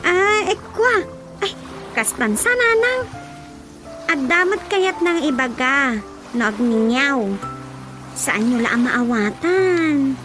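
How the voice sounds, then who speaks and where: A woman's voice: high voice, animated, slow, long pauses. A woman with an Ilocano accent playing a young daughter in a radio drama, coaxing and sweet. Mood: pleading. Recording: radio drama with music underneath.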